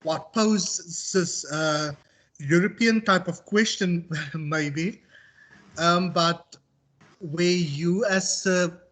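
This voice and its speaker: A South African man speaking as a university tutor in an informal online class, reflective and halting as he circles back to rephrase. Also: high-pitched, a bit bright. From Western Cape, South Africa. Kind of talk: informal online lecture and discussion.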